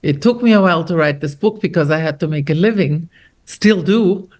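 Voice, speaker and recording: Austrian-born lady author, middle-aged, lively storyteller chatting in an interview. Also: lowish voice, brisk. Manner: animated storyteller, quotes others with lively shifts. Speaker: author guest. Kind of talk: conversational interview.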